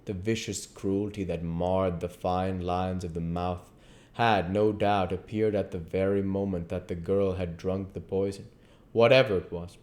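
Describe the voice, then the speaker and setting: A man with a fairly low voice reads literary prose aloud. His narration is deliberate, with occasional stumbles over the written words. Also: steady tone, a little mellow. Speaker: audiobook reader.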